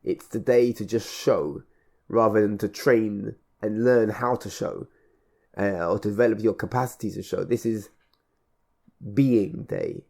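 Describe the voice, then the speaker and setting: A man hosting a radio conversation, speaking reflectively in measured, halting phrases and building on repeated words. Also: lowish voice, creaky, darkish tone. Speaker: radio show host and event organiser.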